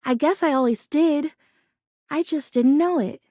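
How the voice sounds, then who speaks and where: A woman voicing an excitable, sweetly earnest female houseplant come to life in a video game, with a gushing, girlish, expressive delivery. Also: sits high, measured pace, no room sound, studio quality. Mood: tender, serene. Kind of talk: video game character voice acting.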